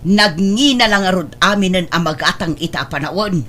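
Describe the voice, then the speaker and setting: A woman's voice: lowish voice, fairly quick, slight background noise, natural voice. Actress as bitter, scolding Ilocano stepmother. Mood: resentful. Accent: Filipino, Ilocano. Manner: scolding, sharp-tongued. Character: bitter, hard-hearted, resentful nagging wife and stepmother. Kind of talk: Ilocano radio drama acting.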